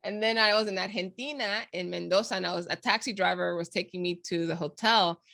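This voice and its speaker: A woman's voice: on the low side, brisk, gravelly. A woman from Panama hosting a casual video chat, telling stories with lots of laughter. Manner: animated storyteller, laughs often. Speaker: podcast host.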